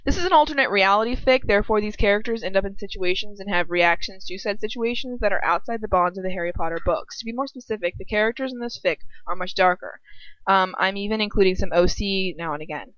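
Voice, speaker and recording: A woman's voice: mid-range voice, fast talker, fairly bright tone, no room sound, highs cut off. A young woman co-hosting a fan fiction read-aloud, chatting and reading casually with informal, wry asides.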